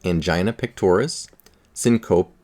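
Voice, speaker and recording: A man's voice: low-pitched, measured pace, a little mellow. A man reading a science article aloud, adding informal asides as he goes. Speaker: article reader.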